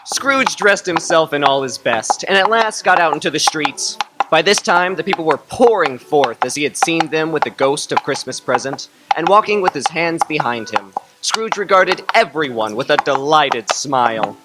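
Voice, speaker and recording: Woman narrating over loud clatter. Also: low-pitched, expressive, heavy background noise. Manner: measured, storytelling. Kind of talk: radio drama narration. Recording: loud clicking and chopping sounds.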